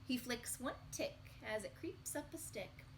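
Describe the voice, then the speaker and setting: A woman reading a picture book aloud to young children in an expressive, sing-song storytelling manner. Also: a little high, normal speed, slight background noise.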